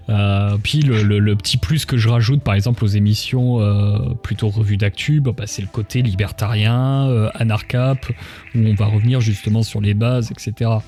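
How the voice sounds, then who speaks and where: A man's voice: fairly low pitch, steady tone, moderate pace, dark tone. A man hosting a livestream interview in an informal, tongue-in-cheek conversational way. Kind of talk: casual chat, interviewing. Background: background music.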